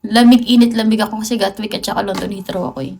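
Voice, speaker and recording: A woman's voice: medium pitch, fairly even intonation, racing, creaky, darkish tone. A Filipino woman chatting casually and playfully on a livestream, mixing in English. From Philippines. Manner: playful, chatty, mixes English into her talk. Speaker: livestream host.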